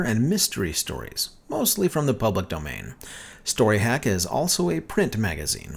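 Animated male podcast host. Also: medium pitch. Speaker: podcast host and show director. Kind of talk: podcast introduction, narration.

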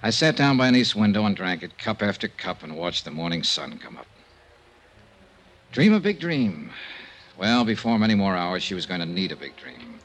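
An actor playing a world-weary, wry but kindly investigator in an old-time radio drama, terse and understated. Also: male voice, low-pitched, big pitch swings, moderate pace, a bit bright, heavily acted. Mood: somber, narrating. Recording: faintly noisy old radio recording.

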